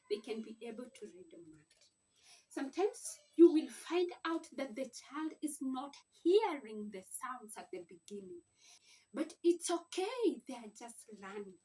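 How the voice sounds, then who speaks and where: A woman giving an informal reading lesson to parents, explaining deliberately and posing questions as she teaches. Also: high-pitched. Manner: deliberate, sounds out letters and words, asks rhetorical questions. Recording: slightly distant microphone.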